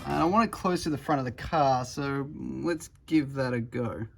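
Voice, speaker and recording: A man's voice: mid-range voice, normal speed, vocal fry, over loud music. Offhand Australian man, DIY vlog. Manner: chatty, offhand, self-deprecating. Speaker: electrician vlogger.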